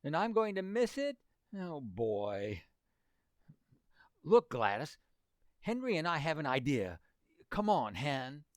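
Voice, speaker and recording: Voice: mid-range voice, big pitch swings, takes it slowly, long pauses, dry recording, clean studio sound. An actor playing an earnest, lovesick, hapless teenage boy in exclamatory, old-time radio comedy style. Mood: dismayed, smitten. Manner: exclamatory, dim-witted, earnest boyish slang.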